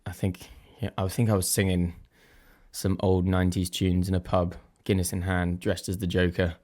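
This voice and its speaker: Croaky-voiced man hosting a chatty podcast. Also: deep voice, little pitch movement, moderate pace, darkish tone. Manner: chatty and self-deprecating, thinks aloud. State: slightly croaky voice. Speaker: podcast host. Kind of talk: casual solo podcast chat.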